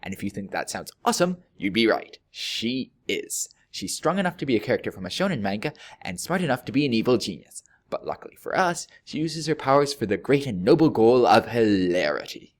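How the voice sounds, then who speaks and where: A male reviewer reads scripted commentary at a middling pitch that moves around a great deal. His delivery is wry and dryly joking, with deliberate pauses that punch key words. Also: sing-song. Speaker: video reviewer.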